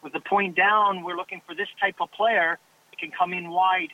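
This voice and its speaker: A man's voice: high-pitched, steady tone, moderate pace, pushed and bright. A Canadian man working as a soccer agent, talking earnestly in a casual interview and often repeating words as he starts a thought. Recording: tinny phone line.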